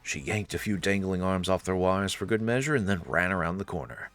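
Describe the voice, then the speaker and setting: A man's voice: deep voice, a little fast. A man reading scripted visual novel narration as a dramatic storyteller. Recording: soft music behind the voice.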